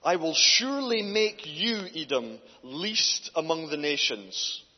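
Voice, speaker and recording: A man, a guest preacher speaking to a church congregation and reading scripture aloud, in a loud, earnest voice. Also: a little high, big pitch swings, slow pace. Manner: loud, earnest, builds in force when reading. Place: church hall. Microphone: handheld mic. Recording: dull distant hall recording.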